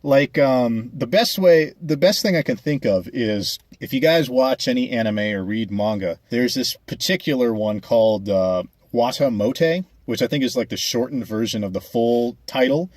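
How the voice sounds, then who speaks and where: A man's voice: medium pitch, moderate pace, hardly any pauses, darkish tone, no echo. A young adult man talking casually over a speedpaint video, chatty and rambling with many fillers. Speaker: animator and vlogger.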